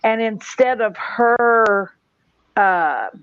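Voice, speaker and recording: A woman sharing a personal story on a livestream, speaking in a slow, hesitant way with many pauses. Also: on the high side, animated, a bit bright, clear, resonant tone, no echo, lo-fi sound. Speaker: guest speaker. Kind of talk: livestream conversation.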